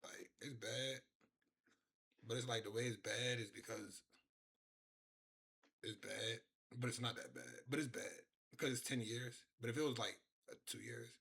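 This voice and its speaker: Husky young streamer rambling to chat. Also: male voice, mid-range voice, fairly even intonation, normal speed, pauses often, a bit bright. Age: 20s. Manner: rambling, repeats himself, talks to chat. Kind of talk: casual live-stream reaction. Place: his own room.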